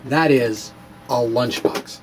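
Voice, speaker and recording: A man's voice: mid-range voice, takes it slowly, heavy background noise. A man presenting a science vlog as a dramatic reading mixed with casual show-and-tell, with theatrical, emphatic phrasing and playful asides. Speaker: science vlogger presenting his own blog.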